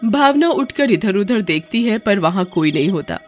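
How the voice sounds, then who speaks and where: A woman's voice: medium pitch, sing-song, normal speed, soft background music. A woman narrating a scripted story expressively, as a storyteller.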